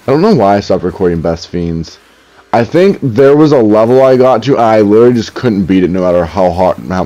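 A young man with a deep, dark-toned voice talks casually through a headset while gaming in his room. He is dry and mildly sarcastic, with frequent stammering restarts, and the audio sounds slightly dull and lo-fi. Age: young adult. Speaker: gaming YouTuber reading comments. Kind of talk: casual commentary while playing.

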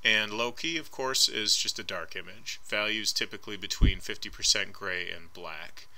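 A man with a very bright, forceful voice gives an art lecture over slides. He talks casually and hedges often, filling pauses with ums, and sits on the lower side in pitch. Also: poor audio. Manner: casual and hedging, frequent ums. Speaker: art instructor. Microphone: voice-over recorded over slides.

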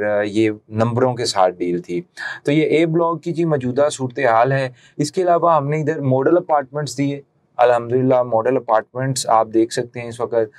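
A man's voice: medium pitch, a little mellow, no room sound. A man in his thirties with a Pakistani accent presents a promotional real estate video as a consultant, explaining things like a salesman into a lapel mic.

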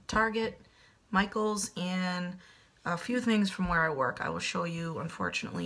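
A woman's voice: fairly low pitch, gravelly, fairly bright tone, breathy edge. A woman in her thirties losing her voice, chatting casually through a home decor haul in her kitchen on a webcam. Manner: chatty, self-deprecating, upbeat. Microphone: webcam at desk distance.

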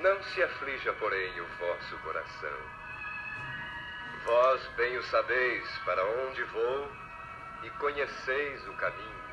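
Slow, solemn, gentle holy teacher. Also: male voice, mid-range voice, big pitch swings. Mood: solemn consolation. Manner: solemn, measured, reverent. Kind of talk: 1950s radio drama acting. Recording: dull vintage radio recording, music behind.

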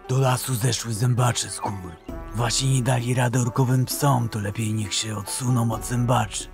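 Man playing a calm, gravelly, seasoned hunter. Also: mid-range voice, close to an everyday voice. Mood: calm, cautioning. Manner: unhurried, matter-of-fact. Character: calm, seasoned male hunter giving unwelcome advice. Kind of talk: fan audio drama acting. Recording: music under the voice.

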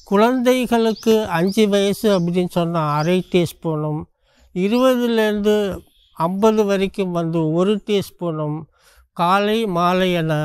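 An older man with a fairly high, smooth, resonant voice explains things straight to the camera. He speaks at an unhurried pace and lists items one by one. He is recorded outdoors among trees on a clip-on mic. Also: dry recording. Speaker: traditional medicine practitioner.

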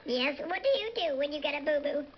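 A man voicing a cute, boastful British chipmunk who chats excitably on a radio phone-in show. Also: very high-pitched, brisk. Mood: sheepish, chatty. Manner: chatty, excitable, self-deprecating boasting. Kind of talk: comic radio phone-in character chat. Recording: muffled radio replayed through a device speaker, faint noise.

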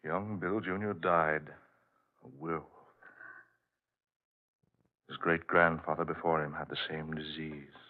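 An actor playing a tense, resolute husband in a 1940s radio horror drama, grave and dramatic in delivery. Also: male voice, extremely low pitch, steady tone, a little slow, long pauses. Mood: grave revelation. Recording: muffled, lo-fi old radio recording.

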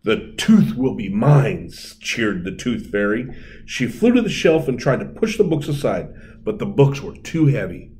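A middle-aged man reading a children's story aloud in an expressive, deliberate way. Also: medium pitch, slight background noise. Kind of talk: storybook read-aloud, bilingual. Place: indoor room, seated at a table.

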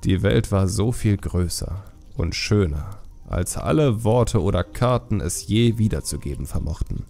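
A man narrating a fantasy audiobook in a measured, expressive storytelling manner. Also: on the low side, gravelly.

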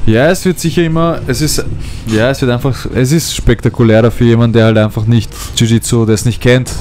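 A man in his thirties with an Austrian accent hosts a casual podcast, speaking haltingly as he searches for words. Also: medium pitch, fairly even intonation, a little mellow. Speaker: podcast host. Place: outdoors at a table by an open-air gym. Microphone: desk microphone. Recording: outdoor mic with faint music and traffic.

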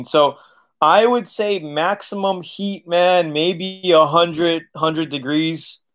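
A man's voice: on the high side, fairly bright tone. A man in his late thirties hosting a casual livestream Q&A from his living room, talking loosely, laughing often and swearing now and then. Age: 30s. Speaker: auto body channel host. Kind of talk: casual livestream Q&A reading chat. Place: living room, seated on leather couch.

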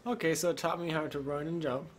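A man's voice: a little high, fairly even intonation, fast, noisy background. A man playing a video game and commenting casually, with offhand sweary reactions. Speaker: let's play co-host playing the game. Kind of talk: casual gaming commentary banter.

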